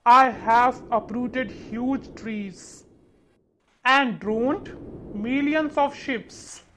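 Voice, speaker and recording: A woman's voice: a little high, drawn-out words, fairly bright tone, pitched below the performer's own voice, rough recording. A woman voicing a proud, boastful male wind in a children's storybook, with a challenging manner.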